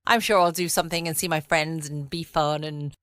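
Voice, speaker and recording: A woman's voice: sits low, steady tone, brisk, a bit bright, no echo. An adult woman with a southern English accent, chatting casually and playfully as host of a bedtime podcast recorded at home. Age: adult past her twenties. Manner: chatty, playful, self-mocking. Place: quiet room at home.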